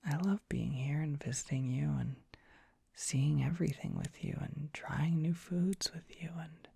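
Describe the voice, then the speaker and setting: A performer playing a dorky, gentle young dragon boy in an ASMR roleplay, speaking in a soft breathy whisper, tender and bashful. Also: medium pitch, unhurried, dry recording, clean studio sound, exaggerated voice. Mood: fond, bashful. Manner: tender, bashful, hesitant, softly reassuring. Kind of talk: ASMR roleplay, intimate comforting monologue.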